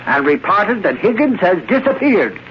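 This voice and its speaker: High-pitched, blustery newspaper reporter. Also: male voice, sing-song, normal speed. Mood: cocksure. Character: blustery, cocksure male newspaper reporter, eager and excitable. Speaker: radio drama actor. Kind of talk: old-time American radio drama acting. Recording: muffled, noisy vintage radio recording.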